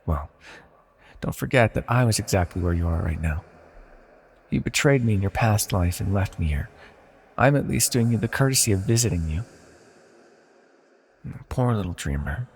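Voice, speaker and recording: A man playing an immortal banished villain sorcerer in a fantasy audio roleplay, speaking softly and formally with condescending, possessive tenderness and a gravelly, husky voice. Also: on the low side, animated, moderate pace, pauses often, exaggerated voice. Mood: teasing, condescending. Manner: soft-spoken, condescending, theatrically formal. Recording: long hall-like reverb.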